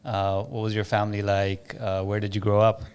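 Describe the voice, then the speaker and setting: A man's voice: fairly low pitch, level tone, fairly quick, a little mellow, smooth. A man hosting a podcast, chatting casually as he interviews a guest and shares his own experience as a business owner.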